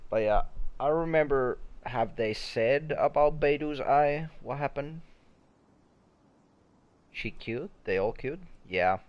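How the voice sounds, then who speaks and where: A man's voice: mid-range voice, expressive, slow pace, pauses often, highs cut off. A young man giving casual live gaming commentary, rambling along and swearing casually. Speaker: game streamer.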